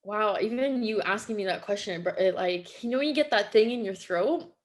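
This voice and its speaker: Earnest woman. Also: medium pitch. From Quebec, Canada. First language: French. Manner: heartfelt, earnest, halting with many fillers. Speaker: podcast guest. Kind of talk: casual podcast interview.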